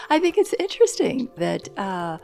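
Slow-spoken woman in her sixties. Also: mid-range voice, vocal fry. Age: 60s. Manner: slow, earnest, laughs lightly. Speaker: yoga instructor and life coach. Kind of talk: interview reflections.

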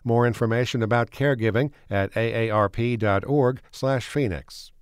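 A male announcer reading a formal sponsor message in a measured delivery. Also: medium pitch, clear, resonant tone, no room sound, clean studio sound. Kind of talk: scripted sponsor read. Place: radio studio.

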